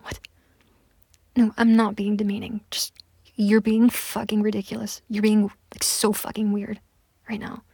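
A woman's voice: medium pitch, flat delivery, a little slow, warm tone, no room sound. A young woman voicing a pushy, entitled woman on a date in a one-sided audio roleplay, talking in a close, whispery way with lots of swearing. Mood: insistent. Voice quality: husky, breathy, gravelly. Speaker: voice actor.

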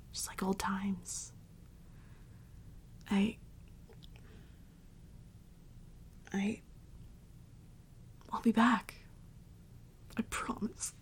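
A woman playing an affectionate, clingy girlfriend in an intimate roleplay audio, speaking tenderly and haltingly and often slipping into a whisper. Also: mid-range voice, warm tone, heavily acted. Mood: tender, wistful. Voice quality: breathy, often dropping into a whisper. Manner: tender, slow, halting, soothing endearments. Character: affectionate young girlfriend, doting and clingy, tearful before parting. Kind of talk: intimate roleplay audio, soft ASMR-like acting.

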